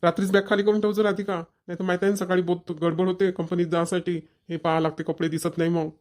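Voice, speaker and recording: Performer voicing a quick-talking village man, caring but nagging. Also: mid-range voice, flat delivery, darkish tone, lightly acted. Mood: casual, grumbling. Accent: rural Maharashtrian. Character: grown village son and husband, caring, mildly nagging. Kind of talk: Marathi family cartoon voice acting.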